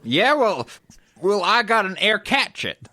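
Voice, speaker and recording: A man's voice: sits high, big pitch swings, unhurried, bright, forceful tone, dry recording, heavily acted. A man playing a boastful, folksy cowboy tool owner in a comedy radio skit, with a drawling, blustering manner. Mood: defiant boasting.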